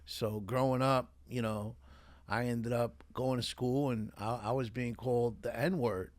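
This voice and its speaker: A man's voice: mid-range voice, steady tone, measured pace, no echo. A middle-aged man with a New York accent, a podcast guest telling his life story in casual, streetwise talk with lots of restarts. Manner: streetwise, repeats himself, says man a lot.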